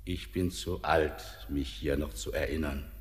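An actor playing a weary, ominous ancient ghostly man in a poetic radio drama, speaking in solemn, declamatory, drawn-out phrases. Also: rumbling low, fairly even intonation. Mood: musing. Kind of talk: classic German radio drama, poetic stage diction. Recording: long hall-like reverb.

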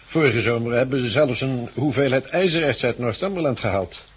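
An actor playing a friendly helicopter pilot recruiting survivors, with measured, courteous explanations in 1960s Dutch radio drama style. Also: male voice, medium pitch, lively intonation, husky, highs cut off. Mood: informative, confident.

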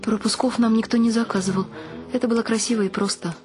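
A woman's voice: on the high side, steady tone, fast, natural voice. An actress playing a wry, ironic woman writer, telling and acting out her story expressively in a radio play. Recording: music under the voice.

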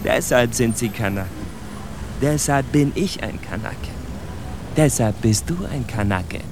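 An actor playing a stern, bitter narrator of street gang life in a radio drama, deliberate and emphatic. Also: male voice, mid-range voice, close to an everyday voice. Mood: bitter, defiant. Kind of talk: dramatic literary monologue in a radio play. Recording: noisy outdoor city street with traffic. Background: cars and urban street ambience.

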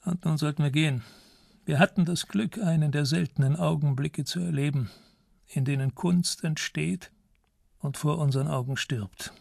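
A man playing a courtly, secretive old ex-intelligence officer in a radio crime drama, gravelly and formal, choosing his words with dignity. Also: a little high, moderate pace, darkish tone, dry recording, studio quality. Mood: solemn. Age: older.

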